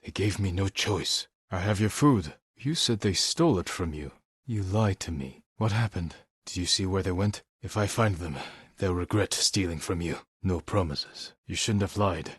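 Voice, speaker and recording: Honourable samurai warrior, low husky voice, measured and solemn, clipped phrasing, dramatic video game acting. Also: male voice, no room sound, studio quality. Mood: calm, resolute. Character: honourable, stoic samurai warrior, grimly resolute leader. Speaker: video game lead character.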